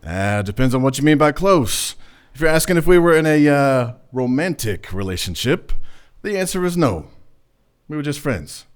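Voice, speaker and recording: A man's voice: a little high, sing-song, moderate pace, clean tone. A man playing a suave, guarded gangster's son in a noir radio play, talking casually with cagey hesitations.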